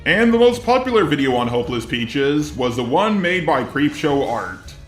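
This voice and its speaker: A man's voice: fairly high pitch, big pitch swings, normal speed, reverberant. A synthetic text-to-speech man's voice narrating a scripted commentary video. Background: background music.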